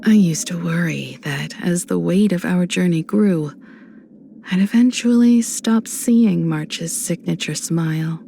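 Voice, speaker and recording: An actress voicing a composed adult woman space traveler in a video game, a warm mentor who speaks in a measured, reflective, gently teasing way. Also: lowish voice, vocal fry, soft background music. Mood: fond, amused. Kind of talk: video game character voice lines.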